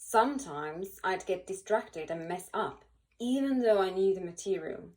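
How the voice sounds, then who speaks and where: A woman reading a children's picture book aloud in an animated, expressive way, with dramatic pauses and emphasis. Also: medium pitch, poor audio. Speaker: volunteer children's book reader.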